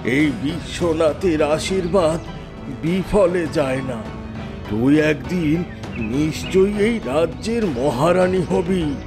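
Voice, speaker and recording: Man acting a very slow, solemn old wizard. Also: on the high side. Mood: solemn blessing. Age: older. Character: old wizard man who grants blessings and curses. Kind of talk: Bengali cartoon fable voice acting. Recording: loud music under the voice. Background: music.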